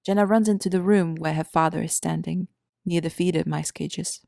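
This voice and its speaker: A woman's voice: deep voice, fairly even intonation, normal speed, creaky, mellow, no echo, clean studio sound. A woman narrating an audio drama in a scripted storytelling style.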